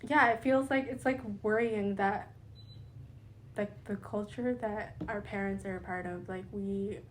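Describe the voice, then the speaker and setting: A woman's voice: medium pitch, fairly even intonation, a little slow. Young canadian woman on a podcast, earnest, says like a lot, faint background hiss. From Pakistani family, raised in Canada. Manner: lots of "like" fillers, earnest, sympathetic. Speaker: podcast guest. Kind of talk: casual conversation.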